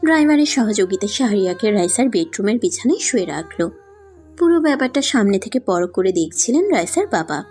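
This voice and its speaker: Lady reading out a story, husky and really lively, music behind her. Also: medium pitch, fairly quick. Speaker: narrator. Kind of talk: scripted story narration. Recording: music under the voice.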